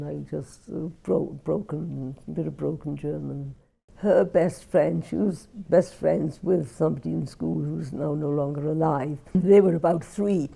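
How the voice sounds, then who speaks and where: An older woman with a Welsh accent, recalling her family in an interview, often halting and repeating words as she speaks. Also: low voice, gravelly, mellow, slightly rough, low-quality recording. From Wales. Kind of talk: reminiscing interview.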